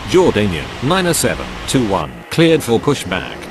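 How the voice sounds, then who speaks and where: A man playing a businesslike aviation radio operator, reading out scripted clearances and calls. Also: lowish voice, wildly swooping pitch, moderate pace. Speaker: air traffic radio voice. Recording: clear outdoor vehicle-like noise.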